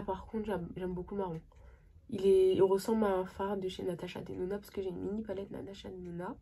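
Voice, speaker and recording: A young woman with a cold, chatting excitedly and casually through a makeup tutorial, with lots of slang and trailing sentences. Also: mid-range voice, creaky, slight background noise. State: has a cold. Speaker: makeup vlogger.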